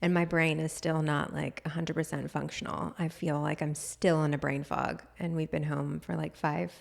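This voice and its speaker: A woman in her thirties co-hosts a podcast in a relaxed, chatty way, laughing often and filling her talk with "like". Her voice sits a little low and sounds a touch hoarse, with a lingering froggy throat. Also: normal speed. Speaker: podcast co-host. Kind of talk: casual chat.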